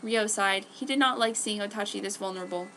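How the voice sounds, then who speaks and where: A woman's voice: medium pitch, brisk. A woman reading a story aloud at home in a theatrical, emphatic way. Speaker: fan fiction reader. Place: a room at home. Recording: faint background noise, home room. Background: very quiet instrumental music, faint noise.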